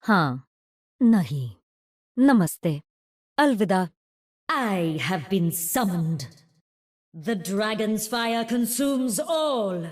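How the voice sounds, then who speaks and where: A woman with an Indian accent playing a composed, commanding mystic summoner in a video game, speaking in a measured, formal, imperious way. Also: medium pitch, very animated, dry recording, clean studio sound.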